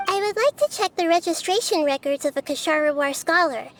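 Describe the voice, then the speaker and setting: A woman voicing a small, wise, gentle goddess who speaks in a measured, formal way in an anime game. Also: very high voice. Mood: calm, businesslike. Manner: measured, soft-spoken, formal. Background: background music.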